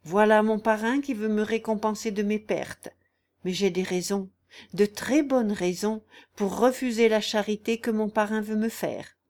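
A woman voicing a humble young peasant woman in a story, speaking plainly with gentle firmness. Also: medium pitch, fairly even intonation, moderate pace, natural voice. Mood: earnest resolve. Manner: plain, firm, gently resolute.

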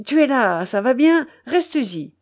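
A woman's voice: a little high, very animated, unhurried, darkish tone. A woman voicing a menacing, brutal male smuggler. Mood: angry, commanding. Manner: harsh, imperious, growling orders. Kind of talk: dramatic audiobook character voice.

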